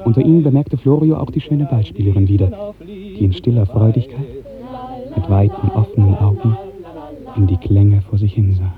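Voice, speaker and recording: A man narrating a literary radio drama in a measured, lyrical 1950s storytelling style. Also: fairly low pitch, expressive, over music. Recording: muffled old 1950s radio recording.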